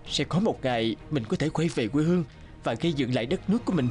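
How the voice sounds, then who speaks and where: A man's voice: fairly high pitch, lively intonation, fast, darkish tone, soft background music. Earnest, determined young swordsman. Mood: determined, hopeful. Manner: earnest, persuasive. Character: determined, level-headed young captive swordsman. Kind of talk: dramatic cartoon dubbing.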